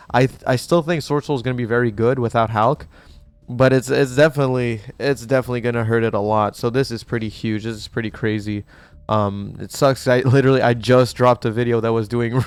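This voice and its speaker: A young man hosting a gaming channel, chatting in a rambling, excitable way while sick with a cough, with a mic set close to him. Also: medium pitch, smooth, no echo. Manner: excitable, rambling, swears casually. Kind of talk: casual reaction commentary. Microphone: desk mic set too close.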